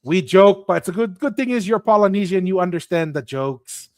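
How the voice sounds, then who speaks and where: Man from Tonga, co-host, animated, repeats himself, dry close sound. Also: sits high, a little fast, dry recording. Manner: animated, laughing, repeats phrases for emphasis. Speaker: podcast co-host. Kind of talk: casual chat.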